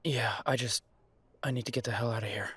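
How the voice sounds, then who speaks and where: A man acts out an estranged werewolf son in an audio roleplay. His voice is mid-pitched and recorded very dry. He sounds guarded, hurt and weary, with biting sarcasm. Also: fairly even intonation, fairly quick, a bit bright, breathy edge, no room sound. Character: bitter, wounded adult werewolf son confronting his parents. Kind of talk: audio roleplay drama acting.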